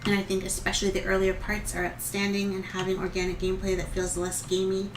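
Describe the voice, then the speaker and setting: A woman's voice: medium pitch, monotone, fast, noisy background. Woman co-host in an echoey room. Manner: conversational, restarts sentences. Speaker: co-host reading viewer questions. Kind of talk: casual chat and reading messages aloud. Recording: echoey room with music behind.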